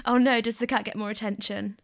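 A woman's voice: mid-range voice, steady tone, a little fast, vocal fry. A woman hosting a podcast, reading a prepared intro and then chatting encouragingly with her guest. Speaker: podcast host. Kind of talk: scripted intro, then casual interview.

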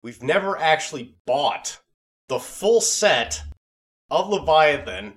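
A man's voice: a little high, sing-song, takes it slowly, a bit bright. Informal man co-hosting a podcast. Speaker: podcast co-host. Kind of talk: casual chat.

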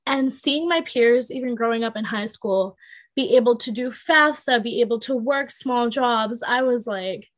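A woman's voice: a little high, moderate pace. A woman of twenty, a podcast guest, explaining her situation in a chatty way full of "like" fillers. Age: 20s. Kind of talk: casual chat.

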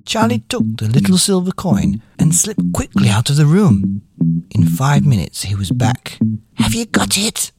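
A man reading a children's story as an expressive narrator. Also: medium pitch, moderate pace, breathy edge, no room sound. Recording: music behind the voice.

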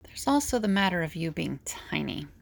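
Woman acting a gravelly, practical young heroine. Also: deep voice, big pitch swings, normal speed, full character voice. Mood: earnest, explanatory. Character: practical, easily exasperated young woman with visions. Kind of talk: audio drama dialogue.

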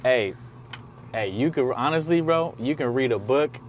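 A man's voice: medium pitch, expressive, moderate pace, highs cut off, background noise. Encouraging male interviewer, affirming. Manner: encouraging, frequent "come on" and "yes" affirmations. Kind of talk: casual conversational interview.

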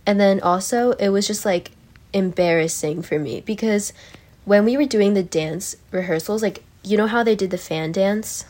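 A woman's voice: mid-range voice, normal speed, a little mellow. A teenage girl being interviewed as a podcast guest, rambling with many fillers and telling stories animatedly. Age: teens. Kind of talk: casual chat.

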